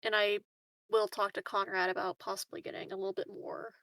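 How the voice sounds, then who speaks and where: A woman's voice: medium pitch, flat delivery, fairly quick, fairly bright tone, no room sound, distant mic. A woman playing a tabletop game, giving short, easygoing, casual replies.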